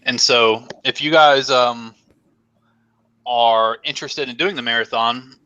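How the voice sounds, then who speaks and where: A man's voice: medium pitch, fairly even intonation, a little slow, pushed and bright, dull audio. A young man hosting a casual live stream chat, rambling and joking with many fillers while losing his voice. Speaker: host.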